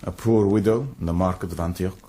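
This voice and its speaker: An actor in a radio drama playing a proud, courteous young Syrian-born warrior who speaks in a measured, formal and earnest way. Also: male voice, low voice, steady tone, mellow, lightly acted. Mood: proud, wistful.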